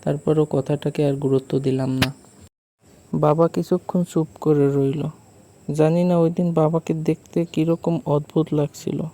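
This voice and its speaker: Cartoon village husband, acted by a man: higher male pitch, even delivery, polite and uneasy storyteller. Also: unhurried, a little hiss or hum. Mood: uneasy, puzzled. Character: village husband recounting his eerie night walk. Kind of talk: first-person cartoon story narration.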